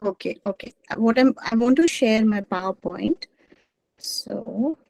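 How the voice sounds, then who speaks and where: A woman's voice: fairly high pitch, lively intonation, unhurried, vocal fry, darkish tone. A middle-aged academic woman, a native Gujarati speaker, gives a presentation over a video call. Her talk meanders and corrects itself, with frequent fillers. The sound is dry and close, with little room echo. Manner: rambling, self-correcting, apologetic. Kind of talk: online presentation.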